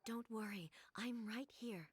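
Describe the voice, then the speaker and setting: An actress playing a composed immortal ruler in a video game, speaking softly in a formal, grave, wistful manner. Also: female voice, on the high side, a little slow. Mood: calm reassurance. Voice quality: soft, breathy. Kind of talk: measured dramatic video game voice acting.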